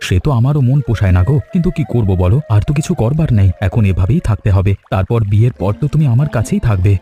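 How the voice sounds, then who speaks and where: A man's voice: lowish voice, expressive, quick pace, mellow, soft background music, close to an everyday voice. A man voicing a poor young fisherman in love, earnest and anxious, in a cartoon story. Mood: tender, worried. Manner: earnest, plaintive. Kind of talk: acted cartoon story dialogue.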